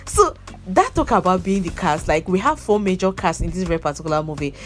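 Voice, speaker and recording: A woman's voice: fairly low pitch, expressive, fairly quick, faint music behind. A young woman chatting casually about a movie, repeating herself and breaking into laughs. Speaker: movie reviewer. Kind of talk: casual movie review chat.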